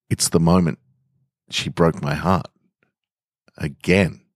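Male storyteller and podcast host, very low voice, slow delivery, dry close sound. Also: dry recording. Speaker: podcast host reading his own short stories. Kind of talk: informal podcast intro and slow literary story narration.

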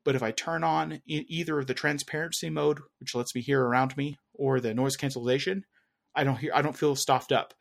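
A man's voice: mid-range voice, steady tone, brisk, gravelly. A man chatting casually as a tech podcast co-host, rambling a little and often correcting himself as he searches for words. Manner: rambling, hesitant, self-correcting. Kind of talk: casual chat.

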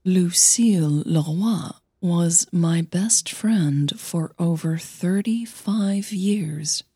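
Woman voicing a cool, guarded older coach, low and slow. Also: dark tone, breathy edge, no echo, studio quality, heavily acted. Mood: confessing. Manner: cool, reluctant, measured. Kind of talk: audio fiction dialogue.

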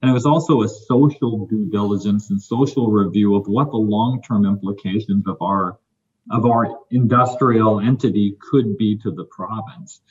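A man speaking as a mining company executive on a panel, measured and businesslike. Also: fairly low pitch, darkish tone, muffled recording. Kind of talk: panel discussion.

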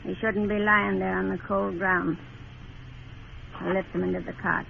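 A woman's voice: medium pitch, little pitch movement, moderate pace, creaky, phone-quality sound. An actress playing a hard, imperious frontier woman in a radio Western, giving curt orders. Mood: grim, commanding. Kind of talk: 1950s radio drama acting. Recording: thin crackly old radio recording.

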